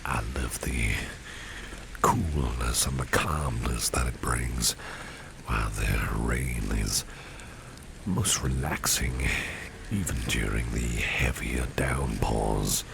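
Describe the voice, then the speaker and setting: A man acts out a gentlemanly, protective boss in a flirtatious ASMR roleplay. His voice is exceptionally low and heavily creaky, sinking into a breathy half-whisper. He takes his time with suave, courtly phrases while rain and street sounds are clearly heard behind him. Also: slow, exaggerated voice. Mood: courtly, soothing. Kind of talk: ASMR roleplay spoken to the listener. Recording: steady rain and street ambience noise. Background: rain falling, passing vehicles.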